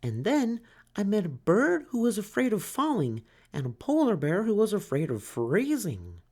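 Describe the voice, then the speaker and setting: A timid little boy turtle from a children's storybook. The voice sits high and moves up and down a lot, earnest and polite, pleading in small careful phrases. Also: wildly swooping pitch, moderate pace, darkish tone, heavily acted.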